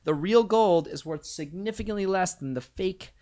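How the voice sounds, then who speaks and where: A man's voice: sits high, normal speed, warm tone. Male quiz host, casual, reads questions and scores with dry asides. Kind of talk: live-streamed quiz night banter.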